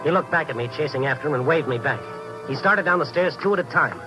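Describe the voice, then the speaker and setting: 1950s radio recording, music behind, male actor as wry American reporter, fast-talking, hardboiled, dogged. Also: fairly high pitch, animated, lightly acted, rough recording. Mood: wry, tense narration. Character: male night-beat newspaper reporter, wry, dogged, lean, loyal friend. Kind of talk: 1950s radio drama acting and hardboiled narration.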